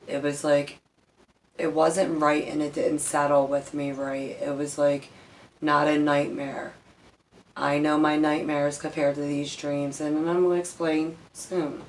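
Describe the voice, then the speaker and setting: A woman's voice: sits low, slight background noise, poor audio. A woman telling personal stories casually as a vlog host, in a candid, rambling, emphatic way with some swearing. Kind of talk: casual personal storytelling to camera.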